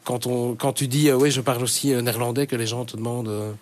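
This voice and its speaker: A man's voice: mid-range voice, steady tone, fast. An older man from Brussels, a radio guest talking candidly in a studio interview, full of fillers and restarts. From Brussels, Belgium. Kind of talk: casual interview chat.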